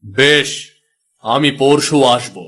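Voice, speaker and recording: A man's voice: medium pitch, slow pace, bright, forceful tone. A man playing a kindly village healer in a cartoon, authoritative and reassuring, with an advisory manner. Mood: grave. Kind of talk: animated moral story acting.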